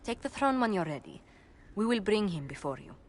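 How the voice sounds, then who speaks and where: An actress playing a poised court adviser in a video game, speaking in a formal, measured and diplomatic way. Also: female voice, mid-range voice, sing-song, slight background noise, close to an everyday voice. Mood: formal, composed. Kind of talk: video game dialogue acting.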